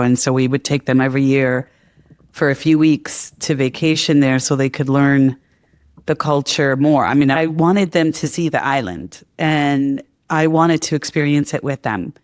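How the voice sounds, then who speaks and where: Middle aged guy hosting a chatty interview, clean smooth voice, no room sound. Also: mid-range voice, no room sound. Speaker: podcast host, interviewer. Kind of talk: casual conversational interview.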